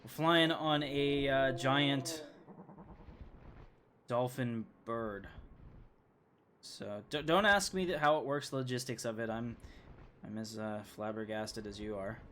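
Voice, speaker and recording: A man's voice: mid-range voice, slow, long pauses, a bit bright. A male game streamer with a mid-range voice. He gives casual, chatty live commentary and rambles as he plays. Faint noise and game ambience sit behind him.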